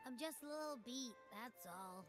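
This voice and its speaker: A woman's voice: a little high, animated, moderate pace, natural voice. A woman voicing a small, cocky, eager flying creature companion in a video game. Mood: tired, reassuring. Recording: game music loud behind voice.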